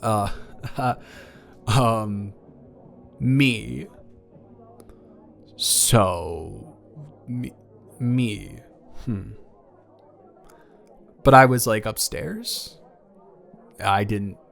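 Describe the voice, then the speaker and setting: A man's voice: lowish voice, very slow, vocal fry, pauses often, a bit bright, faint music behind, heavily acted. Man acting as a rambling, affectionate ex-boyfriend. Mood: confused, earnest. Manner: hesitant, rambling, playful, earnest. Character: awkward, affectionate, teasing young ex-boyfriend playing caretaker. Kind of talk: improvised intimate audio roleplay, one-sided conversation.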